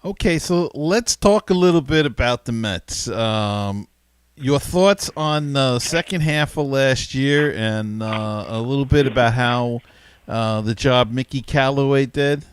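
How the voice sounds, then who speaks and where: A man with a medium-pitched, very clear voice hosts a casual sports interview. He feels his way into questions with some repeated words, and the sound is dry and close. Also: unhurried, dry recording. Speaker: podcast host, interviewer.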